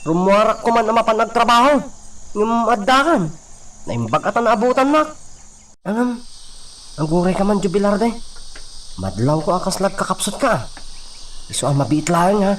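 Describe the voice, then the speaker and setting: High-pitched, concerned loyal friend. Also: male voice, sing-song, normal speed, long pauses, fairly bright tone, recorded from a distance. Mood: welcoming. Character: concerned, loyal male friend sheltering a fugitive. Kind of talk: Ilocano radio drama acting.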